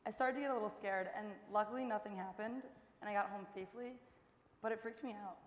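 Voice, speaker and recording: A woman's voice: medium pitch, quick pace, close to an everyday voice. A young woman playing a shaken college student recounting incidents in a staged monologue. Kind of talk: staged readers theater monologue. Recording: very echoey hall.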